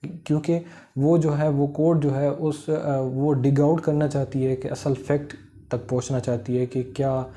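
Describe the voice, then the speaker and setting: Very mellow, dark-voiced Pakistani man lecturing. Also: on the high side, little pitch movement, a little hiss or hum. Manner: halting, repetitive, hesitant with frequent filler phrases. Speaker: law lecturer. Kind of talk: informal explanatory lecture, Urdu mixed with English legal terms.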